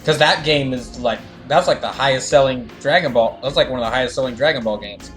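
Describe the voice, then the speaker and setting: A man's voice: mid-range voice, brisk, a bit bright. A man chatting casually on a gaming livestream, rambling and repeating phrases as he thinks aloud. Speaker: streamer doing live fandub of a game and reading chat. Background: game music.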